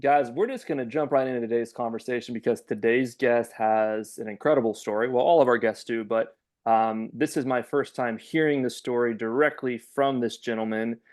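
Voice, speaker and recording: A man's voice: medium pitch, steady tone, moderate pace. A man co-hosting a faith-based podcast, introducing a guest in a chatty way with lots of fillers. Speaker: podcast co-host.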